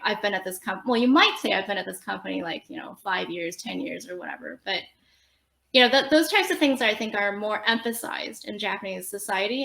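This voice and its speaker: A young woman chatting as a podcast guest, explaining things in a loose, friendly ramble full of "um" and "like." Her voice sits at an ordinary middle pitch. It carries a noticeable roomy echo around it. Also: creaky, bright, forceful tone. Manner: chatty, explanatory, frequent fillers and laughs. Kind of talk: casual conversational interview.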